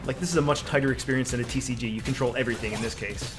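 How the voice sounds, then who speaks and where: Quick-talking man commenting on a game. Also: mid-range voice, loud music behind the voice. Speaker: gaming co-commentator. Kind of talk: casual co-op gameplay commentary.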